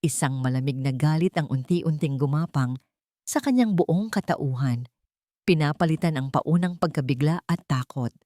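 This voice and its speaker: A woman's voice: low-pitched, normal speed, dark tone, no echo, clean studio sound. A woman reading a scripted romance story as a dramatic narrator.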